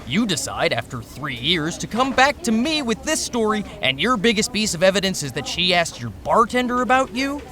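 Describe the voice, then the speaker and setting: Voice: medium pitch, sing-song, moderate pace, hardly any pauses, sharp tone. An actor playing an exasperated, sarcastic veteran newspaper editor in a noir audio drama, long-winded and biting. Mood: sarcastic, incredulous.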